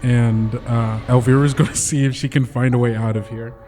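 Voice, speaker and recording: A man's voice: mid-range voice, fairly even intonation, normal speed, warm tone, soft background music. A man casually describing his moves as a tabletop game player.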